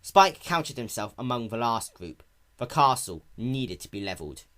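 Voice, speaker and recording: A man's voice: medium pitch, big pitch swings, normal speed, bright, forceful tone. A man narrating a piece of fan fiction as a dramatic reading.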